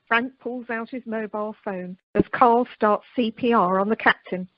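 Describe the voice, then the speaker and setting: A woman's voice: on the high side, moderate pace, highs cut off, noisy background. A woman narrating a radio play from a script.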